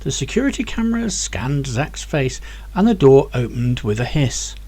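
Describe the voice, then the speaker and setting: A man reading his own novel aloud as an audiobook, with clear, expressive storytelling. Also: mid-range voice, normal speed. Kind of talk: audiobook narration.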